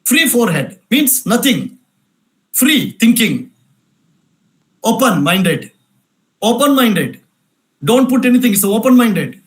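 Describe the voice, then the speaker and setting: A man's voice: extremely high pitch, sing-song, takes it slowly, pauses often. A man giving an emphatic lecture, repeating phrases and asking rhetorical questions. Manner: repetitive, insistent, rhetorical questions. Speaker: speaker at a cultural event.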